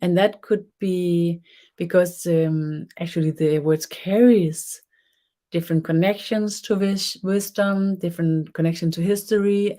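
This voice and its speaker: A middle-aged Danish woman speaks English with a clear Danish accent, leading a spiritual webinar. Her voice is mellow and on the low side. She talks gently and unhurriedly, often stopping and restarting while she hunts for the right word. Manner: soft, unhurried, searching for words with restarts. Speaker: spiritual teacher. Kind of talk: webinar teaching.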